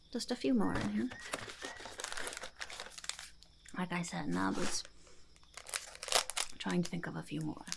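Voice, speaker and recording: Woman voicing a very creaky, half-whispering goblin lady. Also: mid-range voice, darkish tone, slight background noise. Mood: muttering, busy. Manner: musing, bluntly honest, muttering asides. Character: blunt, cheerfully cynical female goblin peddling misfortune cookies. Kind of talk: ASMR roleplay.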